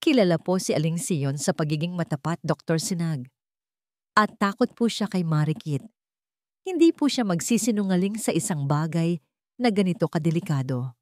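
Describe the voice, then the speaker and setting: A woman's voice: on the low side, expressive, moderate pace, a little mellow, no echo, studio quality, natural voice. A woman voicing a young housemaid in a drama story, polite, earnest and deferential. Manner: respectful, earnest.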